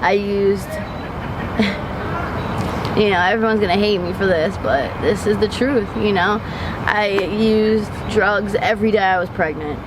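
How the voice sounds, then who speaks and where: A woman's voice: medium pitch, takes it slowly. A woman in her thirties from Long Island, talking candidly in an outdoor interview, rambling with many ums and restarts. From Long Island, New York. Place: city street outdoors. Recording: noisy street with traffic. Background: passing traffic.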